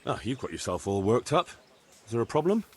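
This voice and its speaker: An actor playing a practical, firm and plain-spoken medieval bailiff in a video game. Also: male voice, mid-range voice, big pitch swings, brisk, a little mellow, lightly acted. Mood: calm, questioning. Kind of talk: video game cutscene acting.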